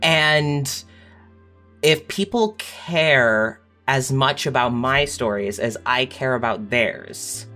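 A woman talking tenderly and earnestly as a writer in a heartfelt panel conversation, choosing words with deliberate care. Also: extremely low pitch, pushed and bright. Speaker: audio fiction writer on a panel. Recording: music playing behind the voice.

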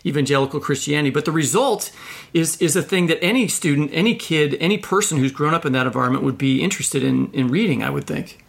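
A man hosting a podcast interview, talking in a conversational, halting way as he circles toward his question. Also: a little high, lively intonation, gravelly. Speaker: podcast host.

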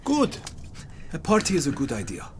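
An excitable male cabbie with a high, swooping voice. He is chatty and blunt yet kindly, in an audio drama with faint background noise. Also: normal speed. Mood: kindly, helpful.

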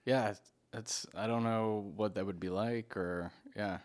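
A man with a fairly low-pitched voice in an informal conversation. He speaks in an easygoing, somewhat halting way, circling back to rephrase his questions and thoughts. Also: fairly even intonation. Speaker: conversation partner asking questions. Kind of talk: casual chat.

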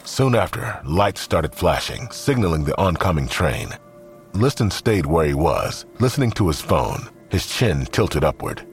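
A man narrating a scripted audio drama in a measured, suspenseful, storytelling manner. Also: sits low.

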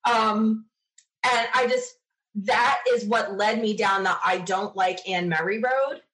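A middle-aged woman with a medium-pitched voice talks as a podcast guest. She tells stories casually and chattily, putting firm emphasis on her opinions. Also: slow pace, a bit bright, rough recording. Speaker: podcast guest being interviewed. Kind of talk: casual conversational storytelling.

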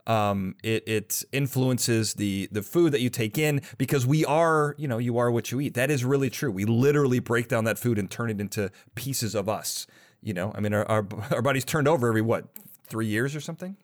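A man's voice: mid-range voice, brisk. A man hosting a podcast interview, chatty and curious, thinking aloud with asides. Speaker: podcast host.

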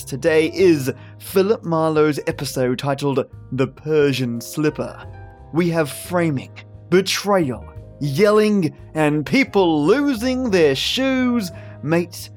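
A man's voice: on the high side, darkish tone. A man delivering a theatrical scripted introduction as a podcast host.

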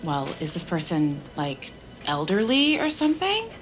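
An actress playing a blunt, curious, gossipy coworker in a scripted audio drama, teasing and inquisitive. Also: female voice, lowish voice, wildly swooping pitch, unhurried, faint music behind.

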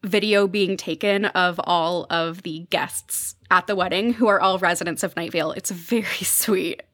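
A woman's voice: lowish voice, steady tone, normal speed, a bit bright, dry recording. A young adult woman talking conversationally as a podcast guest, explaining things with enthusiasm. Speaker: podcast guest, journalist covering fiction podcasts. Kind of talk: conversational interview commentary.

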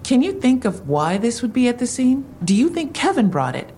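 A woman's voice: on the high side, sing-song, moderate pace, warm tone, slight background noise. An actress in an audio drama playing a skeptical, probing female police detective. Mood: skeptical, questioning.

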